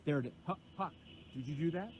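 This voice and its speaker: A man playing a laid-back, eccentric area man who talks calmly and a little haltingly. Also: on the high side, dull audio, over music, lightly acted. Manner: calm, unbothered, halting. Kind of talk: comedic sketch acting.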